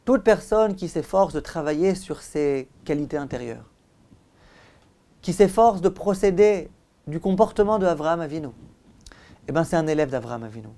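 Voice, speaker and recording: A man's voice: a little high, lively intonation, normal speed, dark tone. Man teaching, emphatic rabbi lecture style. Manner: didactic, rhetorical questions and repetition for emphasis. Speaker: rabbi giving a religious class. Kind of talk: Torah lecture.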